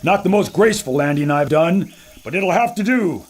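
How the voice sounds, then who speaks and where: An actor playing a brash, boastful adventurer filmmaker who talks with a gruff swagger. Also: male voice, fairly high pitch, lively intonation, moderate pace, a little hiss or hum, lightly acted. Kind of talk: audio drama acting.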